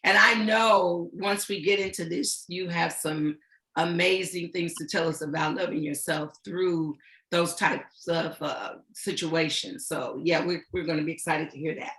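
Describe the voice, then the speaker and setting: A woman in her sixties hosting an online panel chat, with a booming, upbeat and encouraging way of talking over a video call. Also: steady tone, measured pace, a bit bright, roomy sound. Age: 60s. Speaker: host.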